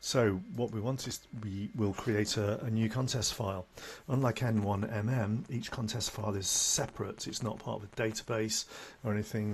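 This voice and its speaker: A man presenting an informal step-by-step software tutorial, matter-of-fact and a little halting as he demonstrates. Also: on the low side, a little hiss or hum.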